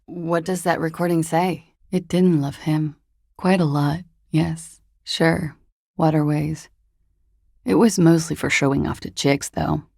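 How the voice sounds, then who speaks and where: A woman playing a jaded, evasive young disco dancer in a video game, talking in a languid, wry and guarded way with trailing pauses. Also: sits low, fairly even intonation, gravelly, a little mellow, no room sound, clean studio sound, exaggerated voice. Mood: wry recollection. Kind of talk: video game character dialogue.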